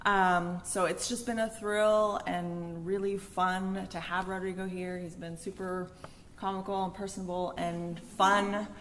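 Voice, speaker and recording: A woman with a lowish voice introduces a guest speaker, sounding upbeat and casual. A faint hiss runs beneath her words. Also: little pitch movement, measured pace. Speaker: gallery host introducing the speaker. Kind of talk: event introduction.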